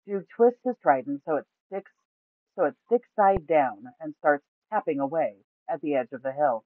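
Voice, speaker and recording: A woman's voice: low voice, lively intonation, normal speed, no echo, narrow, tinny audio. A woman reading a scripted fantasy adventure story as its narrator.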